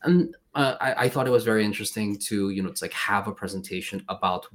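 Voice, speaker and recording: A man's voice: lowish voice, quick pace, a bit bright. A man from Sweden hosting a casual podcast chat, friendly and rambling, full of filler phrases.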